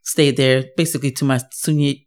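A woman's voice: a bass voice, fairly even intonation, fairly quick, a little mellow. A woman in her fifties with a New York accent, telling her life story in a casual podcast chat with many ums. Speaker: guest, host's mother. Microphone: podcast microphone.

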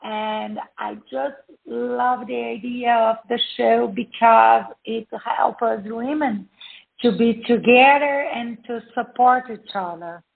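A woman in her fifties speaks English with a Brazilian Portuguese accent, slowly and with careful pauses. Her voice sits a little high and has a gravelly edge. The sound is narrow and thin, like a phone call. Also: fairly even intonation. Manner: careful, hesitant with frequent "uh" pauses. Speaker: guest author. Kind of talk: interview conversation.

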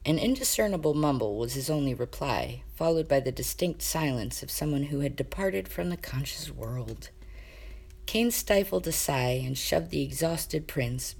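A woman reading a scripted story aloud as an expressive narrator. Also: low-pitched, creaky, slightly rough. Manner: expressive, acts out dialogue. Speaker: amateur audiobook reader of fan fiction.

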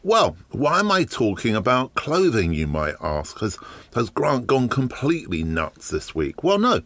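A man hosting a podcast from his shed, with a slightly croaky voice and a chatty, wry manner full of ums and uhs. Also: mid-range voice, wildly swooping pitch, measured pace, fairly bright tone, dry recording. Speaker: podcast host. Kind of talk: casual solo podcast monologue. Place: garden shed.

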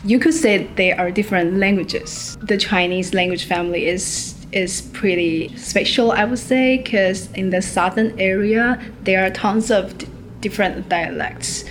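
A woman from Taiwan, a language professor speaking slowly and carefully as she demonstrates in an interview. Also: mid-range voice, soft background music. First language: Mandarin.

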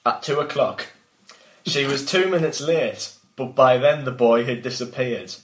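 A man's voice: mid-range voice, unhurried. A man reading his own story aloud, breaking off now and then to banter. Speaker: podcast host and author reading own work. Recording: roomy echoing sound.

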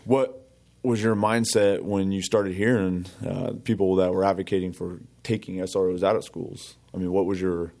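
Male podcast host, low and mellow, affirming, conversational interviewer. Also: little pitch movement, moderate pace. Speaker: podcast host, police officer.

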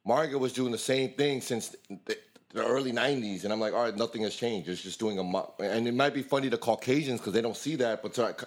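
A man's voice: medium pitch, fast. An American man co-hosting a casual podcast chat, laid-back and opinionated. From United States.

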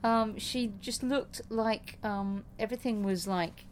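A woman's voice: fairly high pitch, steady tone, takes it slowly, a little hiss or hum. Woman, podcast co-host, chatty, self-mocking, filler-heavy. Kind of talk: casual tennis chat.

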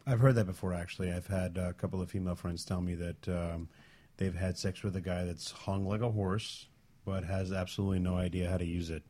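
A man's voice: low-pitched, level tone, a little fast, warm tone. A man chatting casually on a talk show panel, with a wry way of talking. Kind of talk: casual chat.

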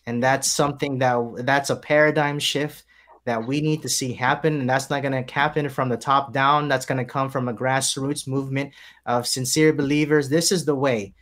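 Male pastor, mid-pitched voice, emphatic preacherly delivery on a panel. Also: steady tone, moderate pace, fairly bright tone. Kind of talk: panel discussion.

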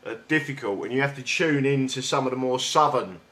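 Hesitant South London radio host. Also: male voice, mid-range voice, brisk, fairly bright tone. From England. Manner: hesitant, with many restarts and stammers. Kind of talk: casual interview chat. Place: radio studio. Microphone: studio mic with big headphones.

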